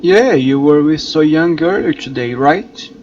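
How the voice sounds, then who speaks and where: A man's voice: fairly high pitch, unhurried, muffled recording, a little hiss or hum, lightly acted. A man playing a wary, blunt and foul-mouthed teenage transfer student in a video game. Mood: guarded. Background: loud music.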